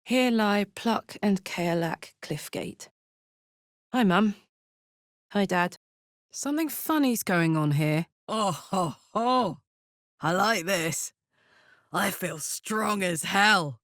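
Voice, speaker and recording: A woman playing a big, horned hellspawn warrior in a video game, brash and casual, warm-hearted, playful and hot-tempered. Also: mid-range voice, lively intonation, takes it slowly, pauses often, no echo, studio quality. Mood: gleeful. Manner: brash, casual, emotionally open. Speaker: video game character voice actor. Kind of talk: expressive video game voice acting.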